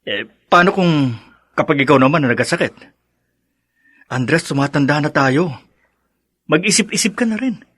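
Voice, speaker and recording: An actor playing a well-meaning, nagging aging friend in a Filipino radio drama, insistent and admonishing, with a Filipino accent. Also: male voice, a little high, animated, moderate pace, pauses often, fairly bright tone, dry recording, studio quality. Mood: concerned urging.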